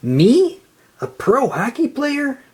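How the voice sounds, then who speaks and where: A storyteller voicing a cheerful, eager young sponge fry cook in a children's storybook, exclaiming excitably. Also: sits high, takes it slowly, pitched above the performer's own voice, heavily acted. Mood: amazed. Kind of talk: children's storybook read-aloud.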